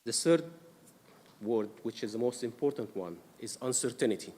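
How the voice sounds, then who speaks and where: A man's voice: mid-range voice, moderate pace, mellow. Egyptian-accented man presenting in an echoey hall. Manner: explanatory, frequent "uh" fillers, careful pacing. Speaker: risk management presenter. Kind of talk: council workshop presentation. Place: council meeting room. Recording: distant mic in a reverberant room with noise.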